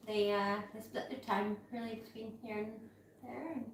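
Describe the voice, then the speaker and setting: A woman's voice: a little high, normal speed. A woman in her forties who grew up in Africa, chatting casually on a livestream and laughing often. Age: 40s. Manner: giggly, animated, laughs often. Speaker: co-host partner. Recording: echoey room, distant from mic, faint noise.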